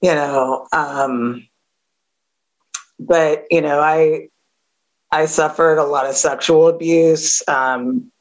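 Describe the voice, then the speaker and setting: A woman with a gravelly voice giving a candid recovery share, halting with many fillers and a wry edge. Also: sits low, steady tone, rough recording. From Southern California. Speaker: AA speaker.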